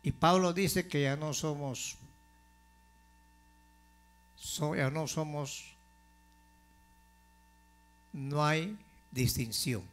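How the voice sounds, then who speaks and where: A male pastor delivering a sermon in a slow, deliberate way, building to forceful emphasis. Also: a little high.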